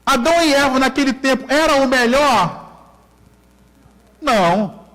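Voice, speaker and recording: A man's voice: extremely high pitch, animated, unhurried, a bit bright, in a large hall, rough recording. A man from Brazil with a Carioca accent, preaching a sermon as pastor, repeating key lines and questioning the congregation. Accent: Carioca Brazilian Portuguese. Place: church hall.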